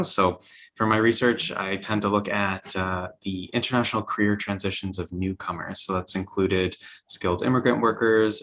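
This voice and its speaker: A man's voice: low voice, bright, forceful tone, poor audio. A man with a Canadian accent, a lecturer introducing himself informally but professionally on a panel.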